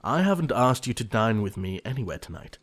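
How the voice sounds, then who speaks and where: A man playing an earnest, easily exasperated young upper-class gentleman in a period comedy audio play, speaking formally and precisely. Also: mid-range voice, big pitch swings, fairly quick. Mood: curt, exasperated.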